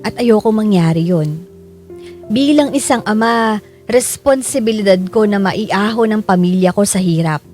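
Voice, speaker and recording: A woman's voice: medium pitch, moderate pace, natural voice. A Filipino woman narrating as a desperate, devoted father, earnest and confessional, in a scripted drama. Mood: regretful, resolute. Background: soft music.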